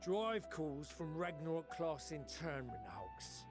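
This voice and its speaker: A man playing a rough-spoken, chirpy engineer in a video game, with a colloquial British accent and animated, folksy manner. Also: fairly high pitch, takes it slowly, music in the background. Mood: wry, cautionary. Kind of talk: video game cutscene acting.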